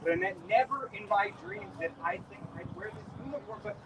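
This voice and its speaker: A man's voice: sits high, brisk, recorded from a distance, noisy background, natural voice. An actor playing a defensive young man in uniform. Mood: tense. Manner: defensive, tense stage dialogue. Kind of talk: live stage scene.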